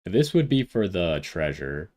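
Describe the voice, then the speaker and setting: Man, lowish voice, casual gaming commentary, thinking out loud. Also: very animated, measured pace, a little hiss or hum. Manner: thinks aloud while deciding. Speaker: gaming video host.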